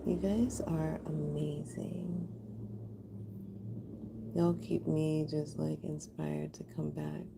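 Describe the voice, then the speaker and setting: A woman's voice: deep voice, level tone, slow, vocal fry, pauses often, background noise. An American woman from Houston, Texas, chatting casually and affectionately with viewers as she hosts a live art stream. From Houston, Texas, USA. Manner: affectionate, appreciative, addresses viewers directly. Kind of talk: casual livestream chat with viewers while making art.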